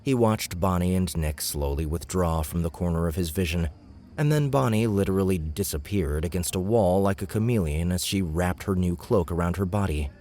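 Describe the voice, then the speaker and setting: Very deep-voiced man narrating fantasy. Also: normal speed, a little mellow. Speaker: audiobook narrator. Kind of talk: scripted fantasy fiction narration.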